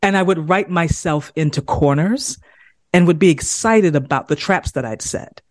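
A woman from South Carolina, a playwright guest talking through her work in a relaxed interview. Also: fairly low pitch, normal speed, no room sound, clean studio sound. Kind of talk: casual interview.

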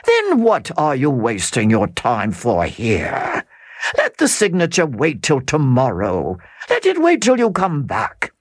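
A reader voicing a suave, scheming middle-aged count who speaks slowly, smoothly and condescendingly. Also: mid-range voice, huge pitch swings, dry recording, clean studio sound, heavily acted. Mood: commanding.

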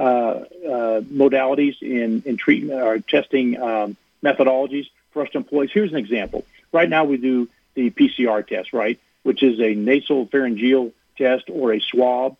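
A man's voice: medium pitch, creaky, breathy edge, dry recording. A man who is a university athletic director, speaking as a guest in a radio interview, earnest and given to repeating words as he starts a thought. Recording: tinny phone line.

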